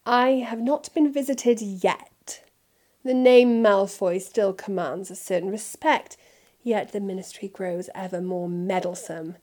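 A woman's voice: medium pitch, unhurried, darkish tone, close to an everyday voice. A haughty aristocratic wizard, as a woman reads him, icy and clipped, every word dripping with disdain. He speaks with cold authority and expects to be obeyed. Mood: disdainful.

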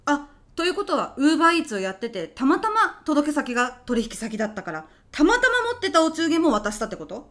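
Woman playing a blunt, high-voiced, lively housewife. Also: brisk, hardly any pauses. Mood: creeped out, incredulous. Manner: incredulous, bluntly questioning. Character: baffled, blunt housewife, creeped out by a visitor. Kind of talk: comic radio drama acting.

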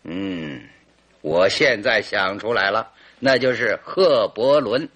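Confident male detective, old radio play. Bright, slightly rough voice, leisurely theatrical deductions. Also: fairly low pitch, slow. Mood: pondering, assured. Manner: theatrical, leisurely deductive storytelling with rhetorical questions. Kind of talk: old-fashioned Mandarin radio drama acting.

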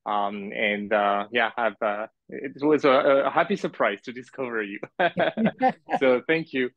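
A man's voice: medium pitch, dry recording. Man from France, rambling, dull dry audio. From grew up in France. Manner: halting, rambling with asides. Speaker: podcast guest, business owner. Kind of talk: casual interview storytelling. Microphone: remote call.

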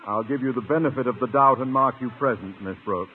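An actor playing a pompous, short-fused high school principal in an old-time radio comedy, speaking in officious, formal phrases. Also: male voice, brisk, husky, natural voice. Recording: narrow, hissy old radio broadcast.

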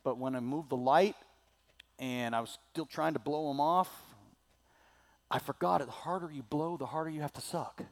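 High-voiced preacher, dramatic swings. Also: male voice, moderate pace. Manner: dramatic swings from loud declaiming to low asides. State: slightly scratchy throat. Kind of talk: sermon.

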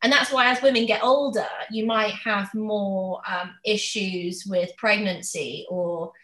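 A woman in her twenties with a bright, forward voice, recorded in a roomy, echoey space. She talks casually and candidly at a middle pitch, like someone chatting openly with a friend. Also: fairly even intonation, unhurried. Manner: chatty, candid, acts out others' questions. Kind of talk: casual conversational chat.

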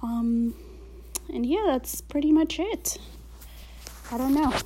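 A woman's voice: sits high, animated, slow pace, warm tone, a little hiss or hum, low-quality recording. Casual swearing woman musing aloud. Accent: non-native accent. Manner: casual, swears often, many fillers. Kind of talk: casual reflective monologue.

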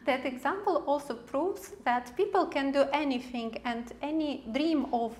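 Woman tv interviewer, high voice, effusive, hesitant fillers. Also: measured pace, a little mellow. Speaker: TV channel host, interviewer. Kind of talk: interview questions.

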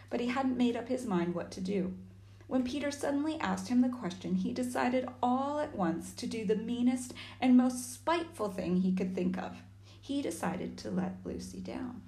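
A woman with a slightly gruff, growly voice reading a chapter book aloud, pausing to chat with young listeners. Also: on the high side. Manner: warm asides to young listeners. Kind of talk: storybook reading with chatty asides. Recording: echoey room.

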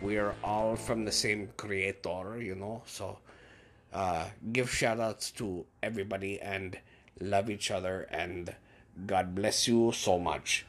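A man's voice: on the low side, fairly even intonation, slow, soft background music. A man co-hosting a podcast, rambling casually through the sign-off with lots of fillers and restarts. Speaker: podcast co-host.

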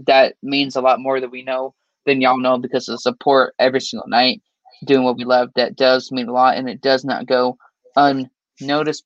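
A man's voice: medium pitch, no echo. Dry, lo-fi man teasing his co-host. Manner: animated, teasing and emphatic. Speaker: sports podcast co-host. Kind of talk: casual sports talk sign-off. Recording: dry, dull lo-fi mic.

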